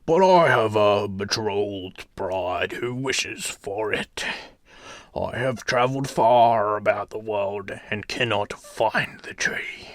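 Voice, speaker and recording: A man voicing a huge storybook giant, gruff and commanding, with loud, drawn-out, emphatic delivery. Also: fairly low pitch, no echo, clean studio sound. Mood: earnest.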